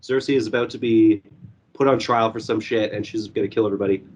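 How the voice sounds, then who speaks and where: A young man reviewing a movie in casual podcast chat. His voice is hoarse and going, and he rambles with lots of fillers and swearing. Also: on the low side, steady tone, quick pace, a little mellow.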